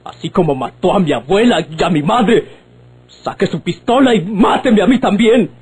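An actor playing a defiant, indignant young son in an old radio drama, speaking formally and declamatorily. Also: male voice, high-pitched, lively intonation, normal speed, a little mellow. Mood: angry, daring. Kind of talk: old Mexican radionovela acting.

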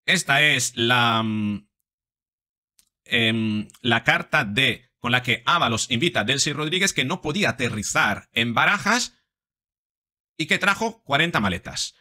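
A man's voice: medium pitch, animated, unhurried, bright, forceful tone. A man in his forties giving emphatic political commentary from a small room, reading documents aloud with sarcastic asides. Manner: emphatic, sarcastic asides between read quotes.

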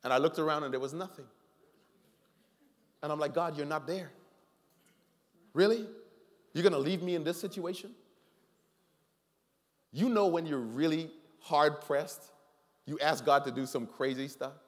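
A middle-aged man preaching a sermon as a pastor, telling personal stories with animated swings between loud pleading and quiet reflection. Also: a little high, normal speed, pauses often. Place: large church sanctuary, preaching from the stage. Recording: long hall reverb with faint music.

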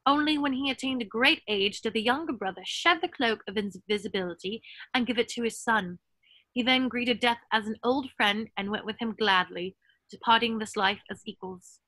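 A woman's voice: fairly high pitch, normal speed, pushed and bright, dry recording, rough recording. A woman playing an earnest, bookish young witch in a scripted table read over a video call. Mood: solemn storytelling. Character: bookish, earnest young witch reading a dark fairy tale.

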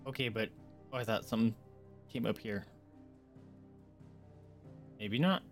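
A man's voice: fairly low pitch, a little slow, faint music behind. A man giving casual, playful, reactive commentary as he streams a video game.

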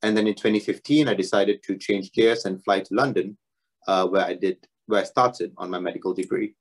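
A young man from Singapore giving a relaxed academic talk as a doctoral student, self-deprecating and dotted with fillers. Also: fairly low pitch, fairly quick, slightly rough. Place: home room, video talk.

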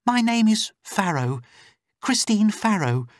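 A man's voice: high voice, sing-song, takes it slowly, no room sound, clean studio sound, voice pushed up, full character voice. A man narrating a storybook, playing an anxious, wary woman held captive behind a locked door. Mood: wary, urgent.

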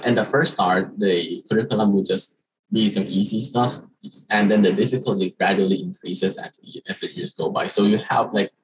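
Young man from Thailand, Thai native speaker, student presenter on a video call; low, slightly husky voice, halting delivery. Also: low-quality recording. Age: young adult. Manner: halting, many fillers and restarts. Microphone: video call webcam.

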